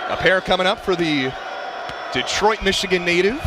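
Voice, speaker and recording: High-voiced male play-by-play man over loud crowd. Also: expressive, normal speed. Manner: clipped, vivid running description. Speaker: basketball play-by-play announcer. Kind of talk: live sports commentary. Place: courtside in a large indoor arena. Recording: loud arena crowd noise behind voice. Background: crowd and bouncing basketball.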